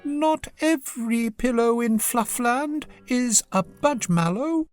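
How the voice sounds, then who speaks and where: Woman voicing a high-pitched, gracious storybook deer. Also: lively intonation, slow pace, soft background music. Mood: cheery instructing. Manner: gracious, exclaiming, polite. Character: kindly silver-haired deer creature, gracious and welcoming.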